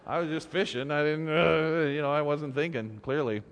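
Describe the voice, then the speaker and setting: A man's voice: fairly high pitch, fairly even intonation, moderate pace, in a large hall, lightly acted. A man voicing a humble, henpecked fisherman with a hesitant, apologetic manner in a told folk tale. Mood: meek, apologetic. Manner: hesitant, sheepish.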